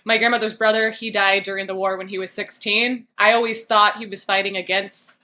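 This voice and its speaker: A woman of thirty, an investigative reporter as podcast guest, talking casually with wry, dark humor. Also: medium pitch, level tone, a little fast. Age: 30s. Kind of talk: casual interview chat.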